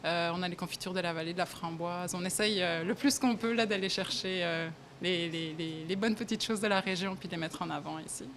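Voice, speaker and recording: Chatty young woman with soft laughs. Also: on the low side, fairly even intonation, fairly quick, hardly any pauses, slight background noise. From Belgium. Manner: hesitant, many fillers, laughs often. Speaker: café owner. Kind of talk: casual interview. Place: café, indoors.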